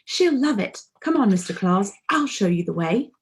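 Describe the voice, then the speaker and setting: A woman voicing a small, polite, eager young male bear from a children's storybook, earnest and excitable. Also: medium pitch, moderate pace. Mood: eager, cheerful.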